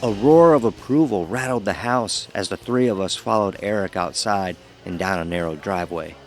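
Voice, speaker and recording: Young male narrator played by a man, college pledge, wry and conversational, lower register, audio horror drama. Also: normal speed, music in the background, close to an everyday voice. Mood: descriptive, wry. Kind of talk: first-person horror story narration.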